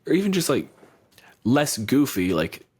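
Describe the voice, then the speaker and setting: A man's voice: medium pitch, very animated, a little slow, darkish tone, husky. A man co-hosting a podcast in casual chat, blunt and profane, riffing with lots of energy. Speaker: podcast co-host.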